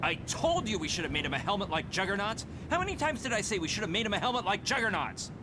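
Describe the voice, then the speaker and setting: A man's voice: high-pitched, sing-song, fast, noisy background. An actor voicing an arrogant, impatient young male mutant leader in a motion comic, fussy and sarcastic. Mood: exasperated. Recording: prominent music behind the voice.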